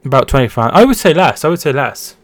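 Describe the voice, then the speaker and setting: A man's voice: medium pitch, very animated, quick pace, no room sound. A man hosting a casual football watch-along stream from home, reading out chat and calling the match. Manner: chatty, repeats words and restarts while reading chat.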